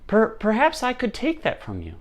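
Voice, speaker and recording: Storybook king, played by a male storyteller: grandiose, demanding, greedy, pitched well up, wildly animated delivery. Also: moderate pace. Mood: covetous. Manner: grandiose, demanding, prone to frenzy. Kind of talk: storytelling character voice.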